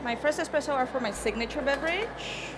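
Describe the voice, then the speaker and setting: A woman with a Czech accent, a native Czech speaker, giving a careful, rehearsed barista competition presentation on stage. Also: sits high. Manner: measured, careful, formal. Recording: noisy event venue ambience.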